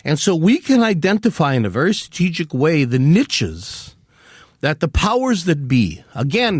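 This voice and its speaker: An older man talking as an author and activist guest on a podcast interview, emphatic and animated, often repeating words as he builds a point. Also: a little high, no echo, clean studio sound. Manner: acts out mock quotes in a raised voice.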